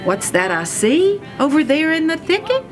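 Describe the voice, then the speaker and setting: A woman's voice: sits high, sing-song, moderate pace, over music, voice pushed up. A woman voicing a cheerful, daydreaming young frog in a playful, exclamatory, singsong manner. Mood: joyful, playful.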